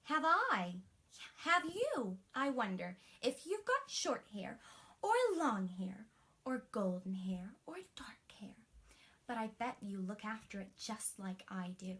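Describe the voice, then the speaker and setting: A woman telling a bedtime story to young children as a princess, expressive, with breathy emphasis and chatty asides. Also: fairly high pitch, a little slow.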